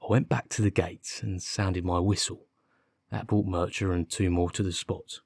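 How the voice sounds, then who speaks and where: A man's voice: low-pitched, fairly even intonation, normal speed, creaky, a little mellow, breathy edge, no echo, clean studio sound, heavily acted. A man voicing a defensive, dim working-class police constable with colloquial, ungrammatical speech in an audiobook. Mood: amused. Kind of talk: audiobook character dialogue.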